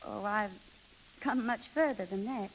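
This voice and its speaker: An actress in a 1930s radio play as a vain, evasive wife who clings to youth and romance, with a theatrical manner. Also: female voice, mid-range voice, a little slow. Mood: evasive, cool. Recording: dull, reverberant 1930s radio broadcast.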